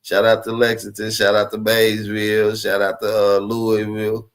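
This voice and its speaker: A man's voice: on the low side, monotone, moderate pace, smooth. Sarcastic male livestream co-host. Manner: sarcastic, mocking. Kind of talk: mocking commentary.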